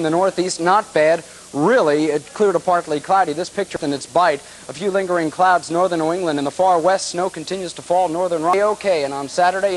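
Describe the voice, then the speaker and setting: A high-pitched American man presents the weather on an old TV broadcast. He is breezy and conversational, talking in short clipped phrases. A light hiss from the aged tape sits behind him. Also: low-quality recording. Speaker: TV weather forecaster. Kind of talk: ad-libbed weather forecast, chatty broadcast delivery.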